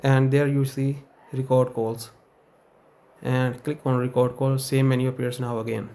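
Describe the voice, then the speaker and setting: A man's voice: mid-range voice, level tone, measured pace, vocal fry, mellow. A man hosting an informal tech tutorial, explaining settings step by step in a plain, instructional way.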